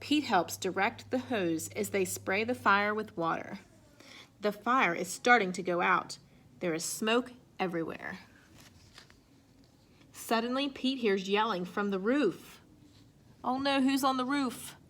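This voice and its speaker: A woman reading a children's picture book aloud in an expressive way. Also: mid-range voice, measured pace, pauses often. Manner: expressive, acts out sound effects and exclamations. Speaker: storybook reader.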